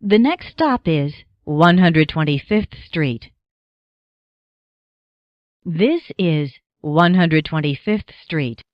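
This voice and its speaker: A low-pitched American woman delivers scripted transit announcements. Her phrasing is measured and broken into clear segments, with dry, close sound. The recording is a little dull and lo-fi. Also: lively intonation, dry recording. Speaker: automated subway announcer.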